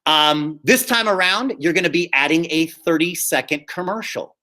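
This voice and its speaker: Male drama teacher, slightly high, bright forceful voice, informal and explanatory, frequent check-ins. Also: moderate pace. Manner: explanatory, often checks in with "okay?". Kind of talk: informal classroom instruction.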